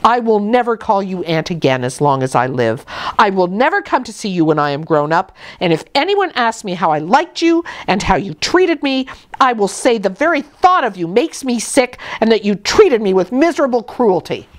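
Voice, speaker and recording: A woman plays a defiant young orphan girl from a classic storybook. The voice is impassioned and emphatic, with pitch that swings widely. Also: very animated, moderate pace, lightly acted. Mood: defiant, bitter. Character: passionate, defiant young orphan girl confronting her aunt.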